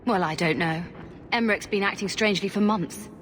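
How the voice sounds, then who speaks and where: A woman's voice: medium pitch, brisk, a bit bright, natural voice. A woman acting a puzzled, matter-of-fact character on duty in a video game, firm and straightforward. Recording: faintly noisy, echoing hall.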